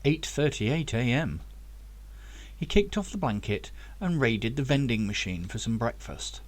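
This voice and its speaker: A man's voice: medium pitch, animated, measured pace. A man reading his own novel aloud as scripted audiobook narration.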